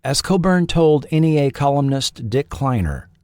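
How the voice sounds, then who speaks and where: A man narrating a scripted documentary in a measured, formal storytelling manner. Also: medium pitch, animated, darkish tone, no room sound, studio quality. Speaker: podcast host and narrator.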